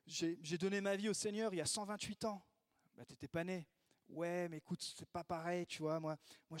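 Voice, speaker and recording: A man preaching a sermon in a lively way, acting out the voices he quotes. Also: a little high, no room sound. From Italy. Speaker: pastor.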